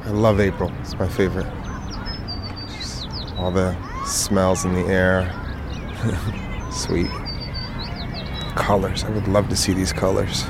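An actor playing a newly blind man in an audio drama, halting and understated, stubborn yet wistful. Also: low-pitched, level tone, background noise. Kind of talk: naturalistic audio fiction acting.

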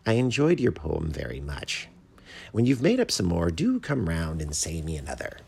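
A man's voice: low-pitched, huge pitch swings, normal speed, gravelly, darkish tone, slightly rough, full character voice. A man voicing a self-important but courteous mockingbird, a pedantic poetry critic in a storybook. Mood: kindly lecturing. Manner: pompous, polite, pedantic.

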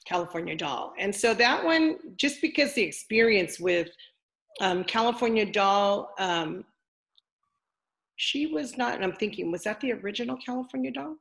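An older woman with a deep voice, a former wrestler chatting casually as an interview guest, rambling with lots of fillers. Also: expressive, normal speed, gravelly, fairly bright tone.

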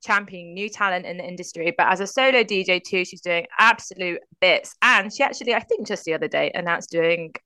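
A woman's voice: fairly low pitch, fairly quick, hardly any pauses, sharp tone, no echo, poor audio. A woman hosting a podcast, introducing her guest with enthusiasm. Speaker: podcast host.

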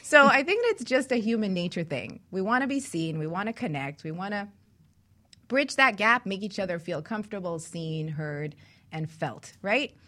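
A woman's voice: mid-range voice, expressive, normal speed, pushed and bright. A middle-aged woman hosting a talk show, chatting and telling stories casually and affectionately.

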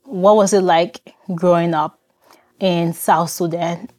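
A woman hosting a podcast interview, asking polite, gently formal questions. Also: lowish voice, fairly even intonation, takes it slowly. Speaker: podcast host.

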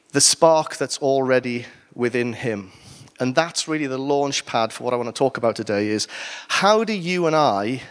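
A Welsh man preaching to his church. His voice sits at an ordinary middle pitch. He delivers the sermon casually, breaking off for jokes and asides. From Wales. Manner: conversational with asides and humor.

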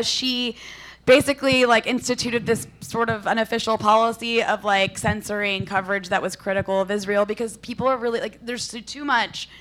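Bright, ironic woman on a live panel. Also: a little high, rough recording. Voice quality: ironic, rambling with fillers. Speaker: guest journalist. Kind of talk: live comedic panel talk. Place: live show before an audience.

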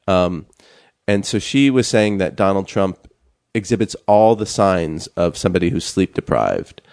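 Low-voiced halting male podcast host. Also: expressive, darkish tone, dry recording, clean studio sound. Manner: halting, many fillers and restarts. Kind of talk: casual chat.

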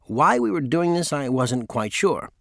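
A man's voice: a little high, big pitch swings, unhurried, gravelly, a bit bright, no echo. Male audiobook narrator, dry sound. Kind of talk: scripted memoir narration.

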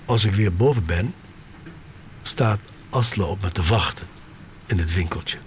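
An actor playing a sneaker-obsessed, wistful man of the future, telling his story in a deliberate, dramatic radio-drama monologue. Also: deep voice, slight background noise. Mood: subdued, reflective.

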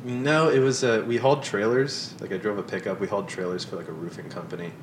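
A man's voice: steady tone, fast, recorded from a distance. A young man chatting as a podcast guest, laughing often and making self-deprecating asides. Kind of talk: casual chat. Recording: roomy sound, off-mic, rumbling noise.

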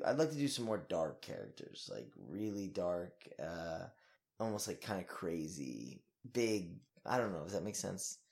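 A young man, an actor, talking in a casual interview in an energetic, rambling way with lots of fillers and swearing. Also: fairly low pitch.

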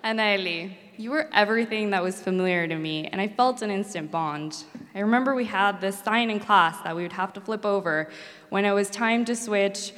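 A woman's voice: medium pitch, normal speed, fairly bright tone. A woman from Mexico, a native Spanish speaker, earnestly telling a personal story as a former student. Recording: long hall reverb.

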